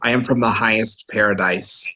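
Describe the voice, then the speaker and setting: A man reciting a poem slowly and deliberately. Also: on the low side, steady tone, sharp tone, rough recording.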